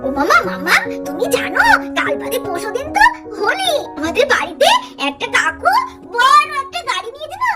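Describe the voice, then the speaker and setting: Casting: eager, pleading little doll girl, extremely high childish voice, playful and stubborn, cartoon story, music behind. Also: sing-song, moderate pace. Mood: urgent, eager. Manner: childish, wheedling, repeats words.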